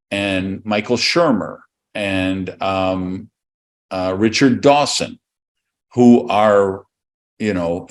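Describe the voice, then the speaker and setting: A man's voice: fairly low pitch, darkish tone. A man from the American Midwest hosting a podcast, talking reflectively and searching for words. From Madison, Wisconsin, USA. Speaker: podcast host. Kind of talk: casual conversation.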